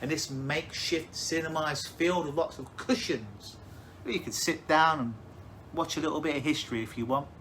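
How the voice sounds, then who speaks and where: A man leading a guided hypnosis visualization, speaking in slow, soothing, suggestive phrases. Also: fairly high pitch, fairly bright tone, a little hiss or hum.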